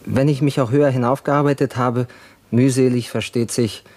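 Actor as courteous, earnest newspaper editor. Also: male voice, mid-range voice, steady tone, moderate pace, a little mellow, close to an everyday voice. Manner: formal, courteous, wordy. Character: earnest, formal self-made newspaper editor courting maidservants' trust. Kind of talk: period radio drama dialogue.

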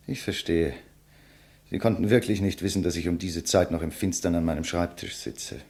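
An actor playing a condemned writer, composed and bitterly ironic, in a measured, eloquent 1950s German radio play style. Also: male voice, deep voice, darkish tone. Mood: dry, composed.

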